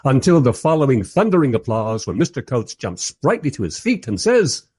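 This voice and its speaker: A man's voice: mid-range voice, big pitch swings, normal speed, warm tone, slightly rough. A man playing a wry, bemused first-person narrator in a radio play, telling the story in a dry, literary way. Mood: dryly amused.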